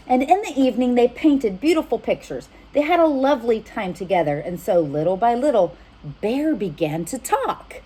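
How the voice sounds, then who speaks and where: Female storytime reader, very animated intonation, slightly husky, addressing small children. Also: on the high side, moderate pace. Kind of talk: animated picture-book narration with asides to children.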